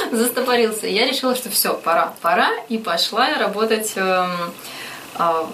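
A woman's voice: medium pitch, lively intonation, normal speed, sharp tone, recorded from a distance, a little hiss or hum, poor audio. A woman guest in a casual interview, chatting with frequent laughs and hesitant fillers.

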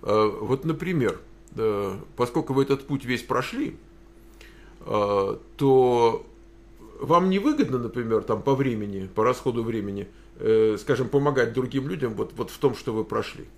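A man hosts an interview over a video call, his voice of middle pitch. He asks his questions hesitantly, with many filler sounds and restarts. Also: lively intonation, normal speed. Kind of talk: casual interview.

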